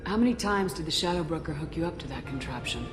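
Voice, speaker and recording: Lady space commander in a game, deep voice, talks fast, steady and supportive, music behind. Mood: probing. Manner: direct, probing, supportive. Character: steady, caring female space military commander. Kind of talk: video game voice acting. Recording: hall reverb, music behind.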